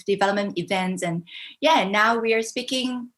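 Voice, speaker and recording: A woman's voice: medium pitch, moderate pace, fairly bright tone. An enthusiastic middle-aged woman, born in Hong Kong and raised in Canada, talks casually about her work as a business coach. She laughs easily and speaks over a video call. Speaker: podcast guest, business coach. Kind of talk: casual interview chat.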